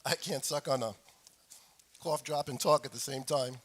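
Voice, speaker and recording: A middle-aged man preaching a sermon as a pastor, hoarse from a cold, speaking deliberately and repeating phrases for emphasis. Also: mid-range voice, a bit bright, a little hiss or hum. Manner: frequent throat clearing. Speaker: pastor preaching to his congregation. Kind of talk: sermon with scripture reading.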